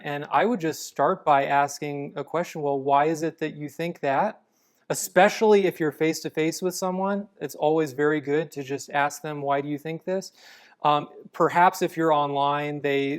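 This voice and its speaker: Measured male guest, smooth and clear, patient explainer. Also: on the high side. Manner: calm, measured, explanatory with frequent restarts. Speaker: apologetics guest. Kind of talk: conversational interview answers.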